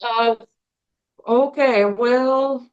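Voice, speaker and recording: A woman in her forties with a Bulgarian accent, a guest telling her story in an interview, hesitant with many pauses. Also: fairly high pitch, steady tone, clear, resonant tone, muffled recording. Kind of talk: interview conversation.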